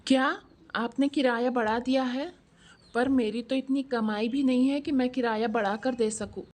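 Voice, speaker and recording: A woman's voice: on the high side, fairly even intonation, moderate pace, darkish tone, lightly acted. A woman voicing a poor, earnest male shopkeeper and father in a scripted moral story. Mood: shocked, pleading.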